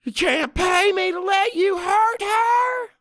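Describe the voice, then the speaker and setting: Audiobook role: outraged woman, acted by a man, high-pitched, shouting, fierce. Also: takes it slowly, no room sound, clean studio sound, full character voice. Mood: furious, shouting. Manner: shouting, indignant. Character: furious woman throwing out a drunk man.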